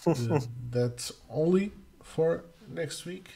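A middle-aged man from Portugal, hosting a casual livestream Q&A as community manager, halting with many fillers and restarts. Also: a little high, vocal fry, dark tone. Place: home room, indoors. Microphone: headset.

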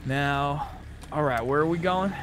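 Male gamer, slightly high pitch, casual in-character chat, light background noise. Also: measured pace, fairly bright tone. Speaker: roleplay gamer.

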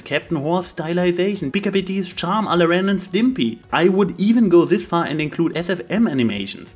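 Male video essayist, voice on the higher side, emphatic opinion commentary over background music. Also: breathy edge. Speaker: video host. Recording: music behind the voice.

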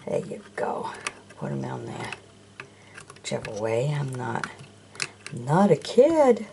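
Low gravelly woman, slow and admiring. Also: wildly swooping pitch, noisy background. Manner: chatty, admiring, asks the viewer questions. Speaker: toy collector showing auction finds. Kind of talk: casual show-and-tell narration. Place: indoors at a sunny windowsill.

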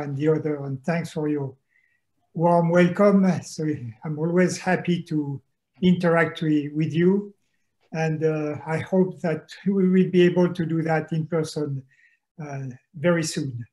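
A man, a soil scientist giving a presentation from home over a video call, slow and hesitant with many fillers. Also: fairly high pitch, darkish tone, rough recording. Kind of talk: online conference presentation. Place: home room, indoors.

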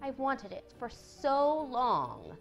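Storybook tomboy teen acted by a woman: brash, emphatic, animated pitch. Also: measured pace, faint music behind. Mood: eager. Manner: emphatic, defiant. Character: brash tomboyish teenage girl, bookish and blunt.

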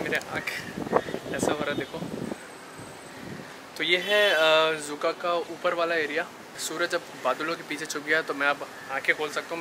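Man, 30s, food vlogger, slightly high voice, upbeat chatty delivery, arm's-length camera mic with outdoor noise. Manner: chatty, upbeat, addresses viewers directly. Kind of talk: casual vlog walkthrough. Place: outdoor rooftop terrace and parked car. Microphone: handheld camera mic at arm's length. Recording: noisy outdoor camera audio.

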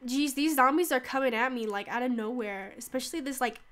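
Teen girl, high bright voice, slightly stuffy nose, chatty rambling gamer talk. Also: brisk. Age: teens. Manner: rambling, lots of "like", yelps and laughs during play. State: stuffy from a cold. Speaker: gaming YouTuber. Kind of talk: casual gameplay chat.

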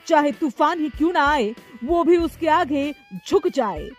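Female voice-over, scripted cricket narration, dramatic delivery, high pitch, light music bed. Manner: dramatic, poetic build-up.

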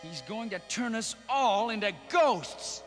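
A man's voice: high voice, animated, slow pace. An actor playing an earnest young male ghost-hunting hero in a cartoon, urgent and heroic. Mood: urgent, determined. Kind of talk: 1980s cartoon acting. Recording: reverberant, music behind.

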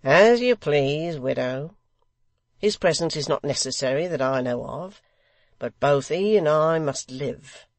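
A man's voice: on the high side, expressive, measured pace, no room sound. A man voicing a sly, mock-courteous blind extortioner in a theatrical, insistent storybook reading. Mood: coolly menacing.

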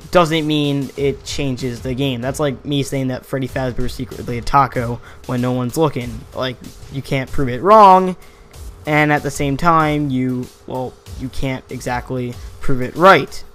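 A man's voice: medium pitch, fairly even intonation, a little slow, fairly bright tone. Chatty young man with music underneath. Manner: rambling, self-correcting, chuckling. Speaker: gaming theory YouTuber. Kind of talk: casual voice-over commentary. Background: faint background music.

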